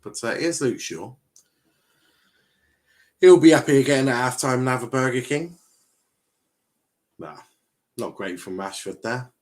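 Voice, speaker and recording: A man's voice: mid-range voice, animated, measured pace, long pauses. A young English man streaming a match watchalong, chatting casually, rambling and swearing as he reacts to the play. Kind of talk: casual live match commentary.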